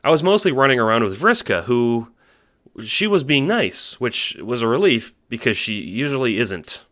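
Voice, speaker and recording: A timid young alien troll, played by a man, speaks in a bright, forward voice. He stammers and second-guesses himself as he goes, anxious to please, in the manner of an audio drama. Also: medium pitch, big pitch swings. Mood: hesitant, eager. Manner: halting, stammering, self-doubting. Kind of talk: audio drama monologue and dialogue.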